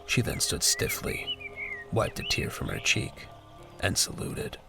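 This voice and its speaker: A man's voice: very high voice, huge pitch swings, measured pace, creaky. A man reading scripted narration for a military audio drama as its narrator. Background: music.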